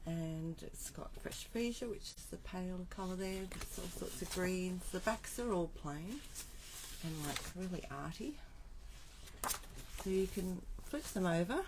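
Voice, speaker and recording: A woman's voice: lowish voice, expressive, measured pace. A woman with a scratchy voice from a cold, chatting casually while she demonstrates card making on a live stream. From Australia. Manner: chatty, asides to herself while setting up. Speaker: craft demonstrator.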